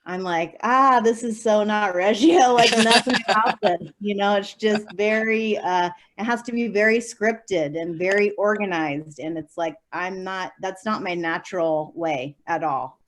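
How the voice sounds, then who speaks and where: A woman's voice: mid-range voice, unhurried, few pauses, a little hiss or hum. A young adult woman, a teacher, answering interview questions in a chatty way with many fillers and light laughs. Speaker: special education preschool teacher.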